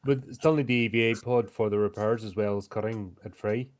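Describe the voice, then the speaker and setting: A man's voice: fairly low pitch, steady tone, fairly quick. A man playing a tabletop roleplaying game, casually planning and narrating his own character's actions.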